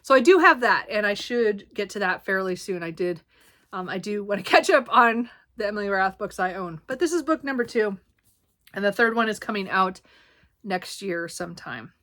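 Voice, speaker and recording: Female book vlogger, casual and chatty, bright tone, slight hoarseness, meandering delivery full of fillers. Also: medium pitch, expressive, normal speed. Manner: rambling, many ums and self-corrections. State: slightly hoarse, clearing throat. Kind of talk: casual book haul chat.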